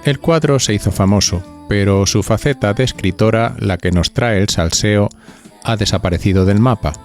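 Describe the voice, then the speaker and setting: Low-voiced Spanish man hosting over music. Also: moderate pace, darkish tone. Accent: Spain. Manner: playful, confiding, addresses listeners directly. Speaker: podcast host. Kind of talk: chatty scripted gossip-podcast narration.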